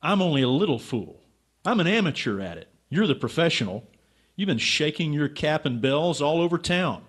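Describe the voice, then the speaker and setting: Man playing an animated, wry amateur sleuth. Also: fairly high pitch, normal speed, slightly rough, no echo, clean studio sound. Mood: defiant, sarcastic. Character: naive, stubborn, wry male amateur sleuth. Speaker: actor in radio drama. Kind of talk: radio drama acting.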